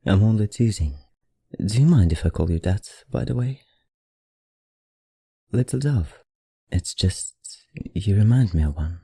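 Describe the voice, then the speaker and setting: Man acting as ancient male vampire librarian, very mellow dark voice, unhurried, genteel and teasing, put-on accent, ASMR roleplay. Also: lowish voice, lively intonation, husky, dry recording, clean studio sound, heavily acted. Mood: gently teasing. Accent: put-on, not his own. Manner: genteel, old-fashioned, softly teasing. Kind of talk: ASMR roleplay, addressing the listener.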